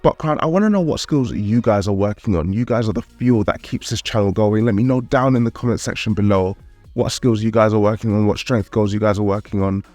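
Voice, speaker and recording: A man's voice: fairly low pitch, a little fast, a little mellow, no room sound, faint music behind. A man narrating his calisthenics vlog in a candid, upbeat way, sounding a little nasal from a cold. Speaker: calisthenics vlogger.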